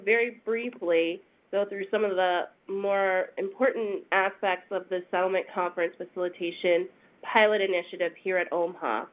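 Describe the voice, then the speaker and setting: A woman with a stuffy, congested voice presents a webinar, explaining things step by step. She pauses often with small fillers. The sound comes through a tinny phone-line connection. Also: mid-range voice. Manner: measured, explanatory, with frequent "um"s. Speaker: senior attorney presenting a webinar. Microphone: phone conference line.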